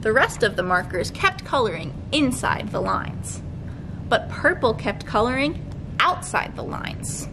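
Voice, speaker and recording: A young woman hosting a storytime, reading aloud to children in an expressive, sing-song way. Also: measured pace. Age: young adult. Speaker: children's librarian, storytime host. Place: library room with bookshelves. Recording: clearly noisy room recording, low rumble.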